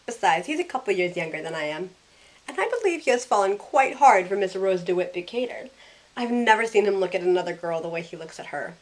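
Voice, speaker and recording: A woman's voice: medium pitch, animated, brisk, close to an everyday voice, low-quality recording. A young woman playing a sassy, loyal modern heroine, narrating in the first person in a wry, conversational way. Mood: fond, wry. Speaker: narrator and lead character. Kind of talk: first-person story narration with in-character dialogue.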